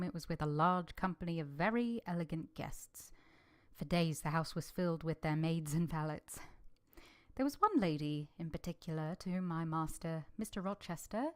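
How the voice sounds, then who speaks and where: A woman's voice: deep voice, darkish tone. A woman acting an earnest, reserved young governess in a vintage radio drama, composed and polite in dialogue and narration.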